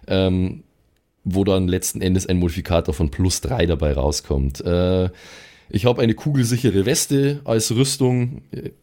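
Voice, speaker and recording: A man's voice: deep voice, mellow. A man casually talking as a player in a tabletop role-playing game, presenting his character.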